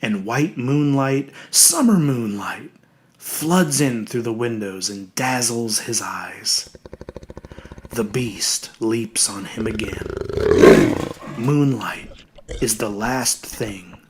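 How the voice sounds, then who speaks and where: A man reading a horror story as dramatic, scripted narration, deliberate and suspenseful, dwelling on his words. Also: mid-range voice, slight background noise.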